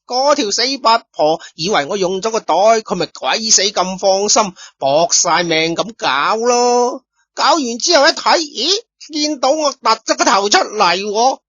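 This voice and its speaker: A man's voice: high-pitched, expressive, a little slow, bright, forceful tone, dry recording, voice pushed up, full character voice. Man doing a cackling, boastful young street punk. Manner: bragging, crude, cackling. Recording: dull lo-fi recording.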